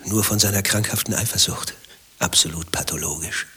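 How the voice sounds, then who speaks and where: A man's voice: fairly low pitch, fairly quick, sharp tone, slightly rough, full character voice. An actor playing an infatuated married man in a radio play, defensive and given to impassioned philosophizing. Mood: confiding, breathy. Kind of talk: German radio play dialogue acting.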